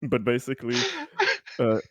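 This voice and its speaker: A man improvising casually at a tabletop roleplaying game, halting and stammering, with wordy phrasing. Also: medium pitch, steady tone, darkish tone, dry recording.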